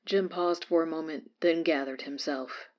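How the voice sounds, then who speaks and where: A woman playing a radio newscaster who reads a disaster report in a measured, formal way. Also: sits low, fairly even intonation, muffled recording, natural voice. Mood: sober, formal. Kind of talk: scripted news report in audio drama.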